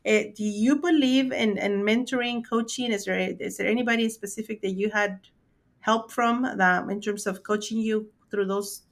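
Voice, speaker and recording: A woman's voice: medium pitch, steady tone, moderate pace. A woman hosting a podcast interview, speaking in an encouraging, conversational way with small grammar slips. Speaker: podcast host.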